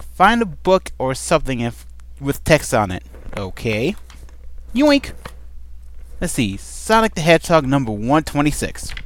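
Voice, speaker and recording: A man's voice: mid-range voice, no room sound. A man talking casually into a desk microphone at home, rambling and playful as he answers questions. His voice sits in a middle range, and the recording is close and dry, with no room sound. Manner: rambling and playful, with many ums. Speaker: vlogger answering a question tag. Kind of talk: casual chat.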